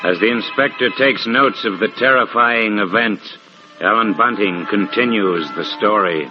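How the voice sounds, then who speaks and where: A man's voice: on the low side, a little slow. A man announcing a radio mystery show in scripted 1940s style. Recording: muffled old radio, music behind.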